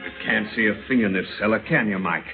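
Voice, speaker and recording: An actor playing a vengeful, educated husband in an old-time radio thriller, well-spoken, deliberate and theatrical. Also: male voice, fairly low pitch, over loud music, lightly acted. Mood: cold menace. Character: vengeful husband, educated lawyer, coolly menacing and obsessed. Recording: scratchy, tinny old radio recording.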